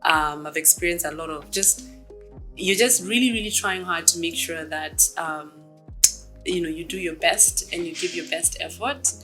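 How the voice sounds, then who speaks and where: A woman in her thirties from Kenya, a startup executive giving reflective, measured interview answers with frequent ums. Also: on the low side, bright, forceful tone, soft background music.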